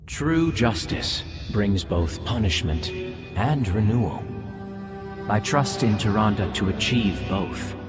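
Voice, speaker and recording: A man playing a kindly celestial judge in a game cinematic, calm and measured. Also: fairly low pitch. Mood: serene. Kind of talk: dramatic video game cinematic acting. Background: music.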